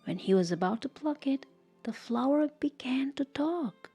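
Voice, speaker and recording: Female storyteller for a scripted folktale: soft, husky-breathy voice, mellow tone, measured expressive reading. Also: high voice, soft background music.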